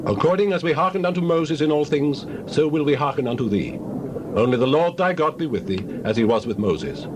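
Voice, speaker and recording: An actor playing a loyal, resolute Israelite officer, emphatic and declamatory in a dramatized Bible. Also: male voice, medium pitch, background noise, natural voice.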